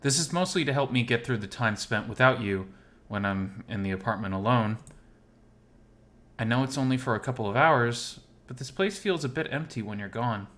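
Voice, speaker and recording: A man voicing a lovesick, gently self-mocking male animal character in first-person visual novel narration, intimate and wistful. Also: medium pitch, animated, moderate pace, natural voice. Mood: tender, wistful.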